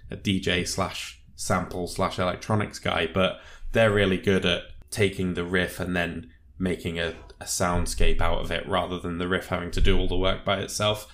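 Male co-host, deep voice, measured and casual, reviewing music. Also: level tone, a bit bright. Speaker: podcast co-host.

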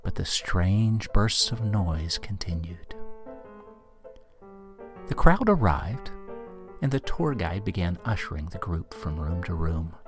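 A man's voice: low voice, sing-song, takes it slowly, pauses often. A man reading a scripted spooky story as a dramatic narrator. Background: music.

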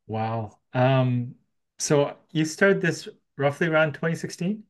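A man's voice: a little high, expressive, slow pace. A man hosting a podcast in a casual interview style. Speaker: podcast host.

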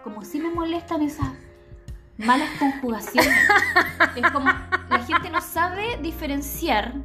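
A woman's voice: high-pitched, a little slow, over music. A Chilean woman co-hosting a podcast, chatting casually and laughing as she teases. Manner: laughing, animated, teasing. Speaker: podcast co-host. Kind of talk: casual chat.

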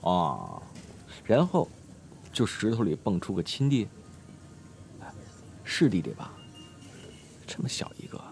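A man's voice: low-pitched, wildly swooping pitch, slow pace, gravelly, long pauses, a little mellow, soft background music, heavily acted. A male actor playing a brash, foul-mouthed, teasing teenage schoolboy in an audio drama, in slangy exclamations. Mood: curious. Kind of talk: Chinese audio drama acting.

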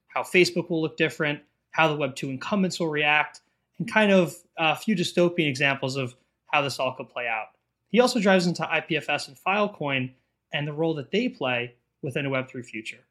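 A man hosting a crypto podcast, speaking with eager enthusiasm and frequent fillers. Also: on the high side. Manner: enthusiastic, repeats "really excited". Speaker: podcast host. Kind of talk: upbeat podcast intro and interview.

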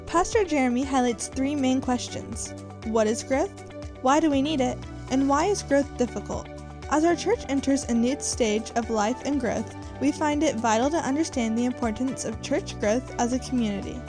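A woman with a high voice reads a short scripted podcast intro in an even, rehearsed delivery. Clear music plays under her voice the whole time. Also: moderate pace. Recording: voice over music.

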